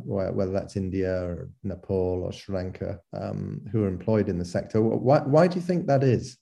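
A man interviewing a guest, asking questions in a halting way with repeated words. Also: on the low side, gravelly, warm tone.

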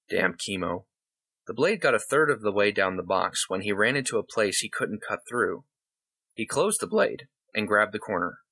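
Husky, bright-voiced man reading fiction. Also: on the low side. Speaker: story reader. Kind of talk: scripted short story narration.

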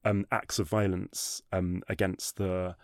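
A man with a low, gravelly voice tells a history story in a relaxed, conversational way, often restarting his sentences and stumbling over words. It is recorded close and dry. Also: slow pace, no room sound. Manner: animated, many restarts and hedging fillers. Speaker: history guest.

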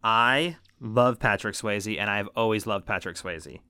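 Guy in his 30s cohosting a movie podcast, bright crisp voice, jokey, swears a lot. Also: on the low side, measured pace, dry recording, clean studio sound. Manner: joking, swears freely. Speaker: podcast co-host. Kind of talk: casual movie podcast chat.

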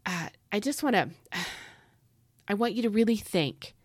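A woman's voice: mid-range voice, animated, unhurried, dry recording, studio quality. A woman with a stuffy head cold hosting a devotional podcast, earnest and emphatic, repeating phrases for stress, chatty with fillers and asides. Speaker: podcast host.

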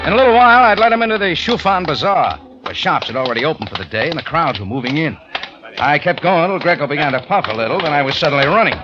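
Man playing a fast-talking hardboiled American adventurer. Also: fairly high pitch, animated, close to an everyday voice. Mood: tense narration. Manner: curt, tough, slangy. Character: tough, brisk American cafe owner turned adventurer-narrator. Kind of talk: hardboiled radio drama narration and dialogue. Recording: muffled old radio recording, music behind.

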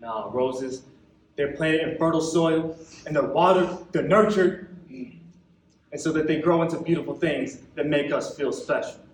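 A young man with an American accent performing a theatrical stage monologue as an embittered, wounded young man, impassioned and colloquial, with dramatic pauses. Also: on the high side, a little hiss or hum, lightly acted. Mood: scornful. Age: young adult. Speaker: actor in a monologue competition. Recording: echoey hall, recorded from far off.

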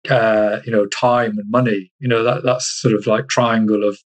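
A man from Iceland chatting casually as an interview guest, halting and repeating himself as he searches for words. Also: lowish voice, little pitch movement. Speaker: interview guest, army soldier.

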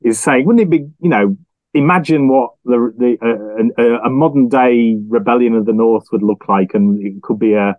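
A man giving thoughtful, casual analysis as a podcast panelist, halting and repeating words as he thinks. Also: mid-range voice, no echo, phone-quality sound.

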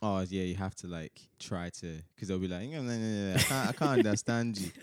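Podcast host, young man from Nigeria, faint Nigerian accent, lowish, dry close sound, laughs and teases. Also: fairly even intonation, a little fast, dry recording. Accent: light Nigerian. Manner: laughing, teasing, says bro a lot. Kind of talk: casual chat.

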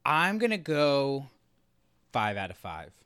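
A man's voice: mid-range voice, wildly swooping pitch, slow pace, fairly bright tone, clear, resonant tone, no room sound, studio quality. A man co-hosting a podcast, giving enthusiastic, conversational opinions in a casual review discussion. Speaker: podcast co-host.

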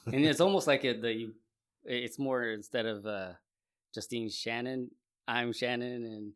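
Male interviewer, average pitch, informal and expressive, casual conversational hosting. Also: unhurried, smooth. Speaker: host.

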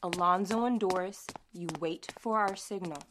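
A woman's voice: fairly low pitch, moderate pace, background noise, lightly acted. A woman playing a practical, matter-of-fact leader of zombie survivors in an amateur audio drama. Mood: taking charge.

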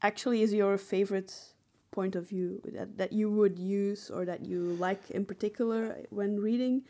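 Woman co-hosting a chatty podcast, mid-pitched. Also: fairly even intonation, moderate pace, mellow. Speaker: podcast co-host. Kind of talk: casual discussion of storytelling.

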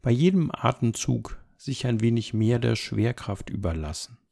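A man leading a guided meditation as an instructor, speaking calmly and gently in measured, unhurried phrases. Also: medium pitch, vocal fry. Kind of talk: guided body scan meditation, soft instruction.

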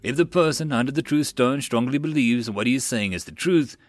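A man voicing a measured intelligence officer in an audiobook, giving a formal, explanatory report. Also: medium pitch, no echo, studio quality, natural voice.

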